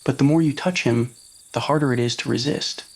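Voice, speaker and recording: Casting: narrator with a medium-low, unclear-gendered voice for scripted romantic roleplay fiction. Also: a little fast. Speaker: narrator of fan roleplay audio stories.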